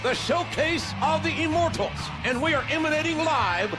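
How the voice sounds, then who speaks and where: A man playing an excited wrestling play-by-play commentator in a video game, announcing with a shouting, hyped delivery. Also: extremely high pitch, moderate pace. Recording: game audio with music underneath.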